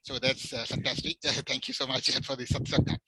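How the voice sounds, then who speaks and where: Bright-voiced polite man asking. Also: medium pitch, flat delivery, brisk, slightly rough, dry recording, low-quality recording. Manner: polite, hesitant, many fillers. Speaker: audience member asking a question. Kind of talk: Q&A question at an online talk.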